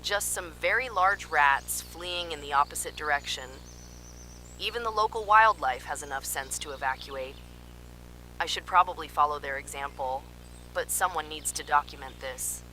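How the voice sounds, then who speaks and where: A woman's voice: low voice, normal speed, bright, forceful tone, slight background noise, low-quality recording. A woman playing an alarmed park scientist, giving urgent, clinical field reports in an analog horror drama. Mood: uneasy, clinical. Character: alarmed female park scientist transmitting desperate emergency warnings.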